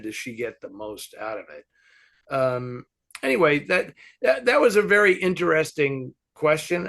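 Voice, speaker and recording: A man hosting a casual question-and-answer film discussion, talking in an animated, halting way as he thinks aloud. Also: medium pitch, vocal fry. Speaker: host answering fan questions.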